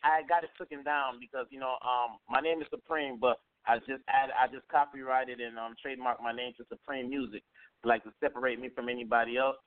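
A young male rapper from Miami, chatting casually in an interview and circling his points with fillers and restarts. His voice sits at an ordinary pitch. The sound is narrow and phone-like, with no room around it. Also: little pitch movement, fairly quick, smooth, dry recording. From Miami, Florida. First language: Haitian Creole heritage speaker. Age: young adult. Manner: loose, repetitive, full of fillers and restarts.

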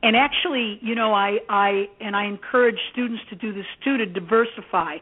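A woman's voice: medium pitch, brisk. A middle-aged American woman, a professor speaking as an interview guest in an earnest, reflective, conversational way. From United States (Indiana), born in Greece. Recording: tinny phone-like line.

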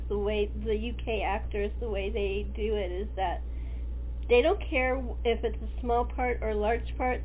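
A woman hosting a casual interview, chatty and often given to laughter. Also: a little high. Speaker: host. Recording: noisy, tinny phone-like line.

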